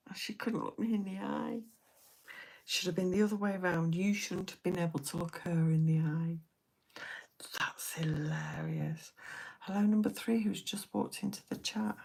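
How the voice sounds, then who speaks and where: Hushed, husky woman chatting live. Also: medium pitch. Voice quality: soft half-whispered voice. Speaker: live stream host. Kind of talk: late-night live stream chat with viewers.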